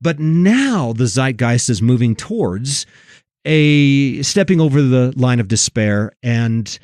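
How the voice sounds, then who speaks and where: Male talk host, mid-pitched with big melodic swings, preachy and emphatic, recorded dry and close. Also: lively intonation, slow, a little mellow, no room sound. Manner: emphatic, preachy, repeats phrases for effect. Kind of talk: religious commentary on film and culture.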